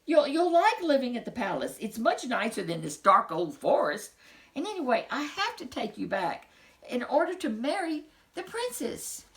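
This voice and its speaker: A woman's voice: high voice, sing-song, moderate pace, pitched above the performer's own voice. A woman voicing a kindhearted, eager young prince in a storybook, with an earnest, stammering delivery. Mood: gentle, concerned.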